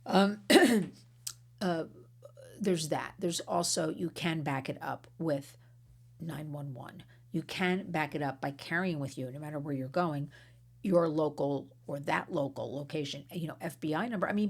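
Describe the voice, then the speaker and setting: A middle-aged American woman, hoarse and losing her voice, giving an emphatic, rambling talk as an anti-trafficking advocate. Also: low-pitched, a little slow.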